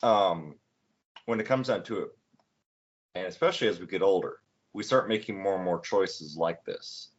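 A low-pitched young man in his late twenties talks with a friend, rambling and restarting his sentences with plenty of fillers. The recording sounds dull and lo-fi. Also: normal speed, pauses often. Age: 20s. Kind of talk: casual chat.

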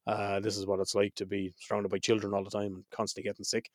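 A man's voice: on the low side, monotone, fast talker, no room sound, studio quality. Congested man hosting a podcast. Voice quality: slightly nasal. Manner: frank, swears freely. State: head cold, congested. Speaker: podcast host. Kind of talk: casual solo podcast intro.

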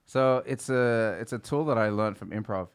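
A man hosts a podcast and talks shop with a guest. He is fluent and articulate, reflecting aloud in an easy, casual way. His voice sits at an everyday middle pitch. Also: normal speed, clean tone. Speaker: podcast host, comedian. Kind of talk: casual conversation.

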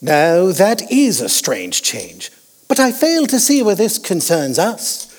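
A crusty old Victorian gentleman doctor in an audio drama. The voice is husky and rather high, swinging wildly up and down with pompous bluster. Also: wildly swooping pitch, takes it slowly, big-room sound, exaggerated voice. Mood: curious, dismissive. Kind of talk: period audio drama acting.

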